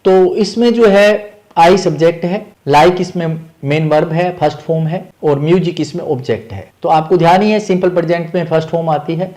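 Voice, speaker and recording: Indian guy teacher explaining grammar step by step, bit high voice, repeats stuff, mixes in english terms. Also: normal speed, hardly any pauses, darkish tone. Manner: methodical, instructive, repeats key points.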